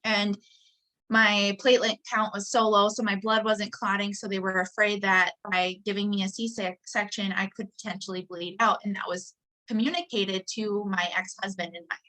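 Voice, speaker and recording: A woman's voice: medium pitch, little pitch movement, normal speed, sharp tone, rough recording. A woman in her late twenties from Wisconsin, a guest sharing personal stories earnestly, often laughing and restarting sentences. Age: 20s. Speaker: guest entrepreneur. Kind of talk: personal storytelling in interview.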